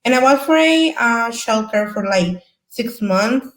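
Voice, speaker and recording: A young woman from El Salvador, a Spanish speaker with a Salvadoran accent, telling her own story on a discussion panel in halting English as she searches for words. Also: on the high side, expressive, echoey room, rough recording. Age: young adult.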